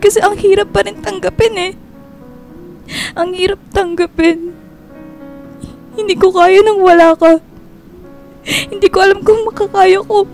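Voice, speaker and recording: An actress in a radio drama playing a grief-stricken young woman, pleading and halting through tears. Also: very high-pitched, steady tone, darkish tone. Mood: grieving, aching. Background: music.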